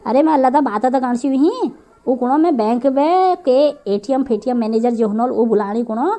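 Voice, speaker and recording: Cartoon role for a woman: exasperated, gullible rural mother, Kumaoni accent, grumbling delivery, fairly high pitch. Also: lively intonation, normal speed, dark tone. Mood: anxious, insistent. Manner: grumbling, rustic. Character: gullible, exasperated village mother of a grown son. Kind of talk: comic cartoon dialogue.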